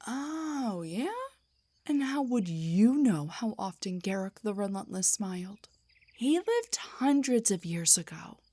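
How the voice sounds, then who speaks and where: A woman voicing an indignant, defensive half-drow bard in a scripted fantasy roleplay audio, with a breathy, theatrical delivery. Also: mid-range voice, big pitch swings, takes it slowly, warm tone. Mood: scornful, incredulous. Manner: theatrical, sarcastic, emphatic, stammers when rattled. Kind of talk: scripted roleplay monologue, one side of a conversation.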